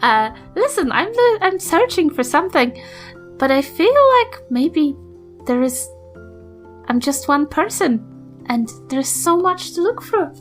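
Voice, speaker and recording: Woman playing a kindly, animated old granny adventurer. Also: sits high, unhurried, soft background music, voice pushed up. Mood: earnest.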